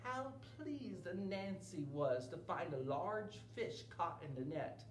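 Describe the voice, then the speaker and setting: A man in his forties telling a children's story in an expressive read-aloud style as a library storyteller. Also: high-pitched, slow, recorded from a distance. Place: indoor library children's room. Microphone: camera a few meters away. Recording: roomy with steady background noise.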